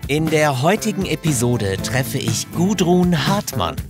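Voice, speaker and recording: A man's voice: a little high, big pitch swings, a little slow, music in the background. A man hosting an interview podcast in a conversational way, recorded close in a studio. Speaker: podcast host.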